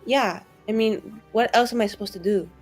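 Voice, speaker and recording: A woman's voice: mid-range voice, normal speed, a little mellow, breathy edge, faint music behind, close to an everyday voice. A woman playing a snobbish, spiteful mage who writes illicit romances, talking in a casual, sardonic modern way. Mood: haughty, bitter.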